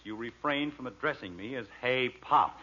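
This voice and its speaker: A man's voice: medium pitch, normal speed, close to an everyday voice. An actor with an American accent playing a pompous, exasperated middle-aged father in an old radio sitcom, on a muffled, hissy recording. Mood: stern, lofty. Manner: pompous, formal, easily exasperated. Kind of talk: 1940s radio sitcom acting.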